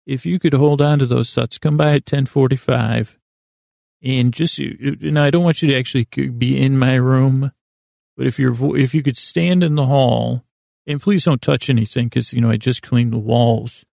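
A man's voice: medium pitch, moderate pace, creaky, dark tone, dry recording, clean studio sound, natural voice. A man voicing an awkward, fussy, sleepless person giving insistent instructions to a neighbour in a podcast bedtime story. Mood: awkward requesting.

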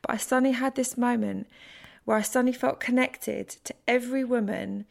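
A woman's voice: fairly high pitch, fairly even intonation, fairly quick, vocal fry. A woman hosting a candid podcast chat, emphatic and swearing freely as she talks. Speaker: podcast host. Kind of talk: candid casual conversation.

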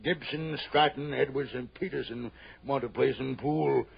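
A man's voice: medium pitch, fairly even intonation, normal speed, gravelly, crowd noise. An actor playing a nervous, bossy, wealthy man in 1960s radio drama, blustering, stammering and repeating himself. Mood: urgent, bossy. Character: nervous, bossy, wealthy male host fearing for his life. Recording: dull old radio recording.

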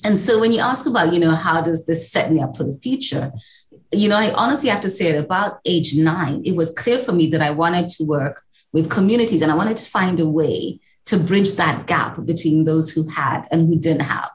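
A woman's voice: low-pitched, expressive, normal speed, reverberant, poor audio. A woman from Kenya with a Kenyan accent, answering interview questions in a reflective, conversational way. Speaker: interview guest, executive director.

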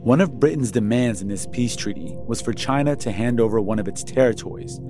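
A man giving scripted explainer narration in a measured, storytelling way. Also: medium pitch, music in the background.

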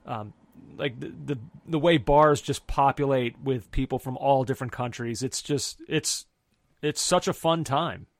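A man's voice: medium pitch, measured pace, no echo, clean studio sound. A man hosting a soccer podcast with enthusiasm, steering the talk through rambling asides. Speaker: podcast host.

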